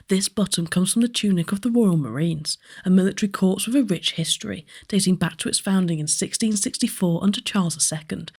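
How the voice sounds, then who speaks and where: Female narrator, medium pitch, scripted documentary-style history voice-over. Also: darkish tone.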